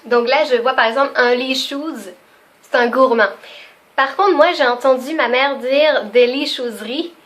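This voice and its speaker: A young woman talks through her video off the cuff, in a fairly high voice. She laughs easily and fills her pauses with little hesitations, in an unscripted, chatty way. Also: fairly bright tone. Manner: chatty and spontaneous, laughs often, many fillers. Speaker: vlogger. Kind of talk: casual unscripted video chat.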